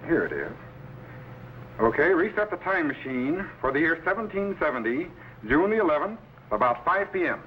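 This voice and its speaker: A man playing an authoritative captain and mentor in a 1950s radio sci-fi show, giving crisp commands and instructive explanations. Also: fairly high pitch, very animated, moderate pace. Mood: commanding, focused. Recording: noisy muffled old radio recording.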